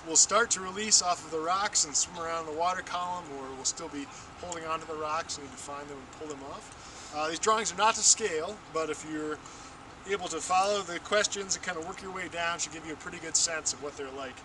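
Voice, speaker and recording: A young American man giving an explanatory training talk in a halting way, as an instructor in the field. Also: fairly high pitch, fairly even intonation. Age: young adult. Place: outdoors on a wooded riverbank. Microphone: camera mic a few steps away. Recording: distant camera mic, noisy outdoor ambience.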